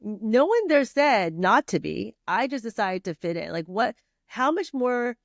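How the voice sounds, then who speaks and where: A woman's voice: fairly high pitch, very animated, measured pace, gravelly, no echo, clean studio sound. A middle-aged woman from Vietnam, guest on a podcast, talking casually with many fillers, restarts and laughs. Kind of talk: casual conversational interview.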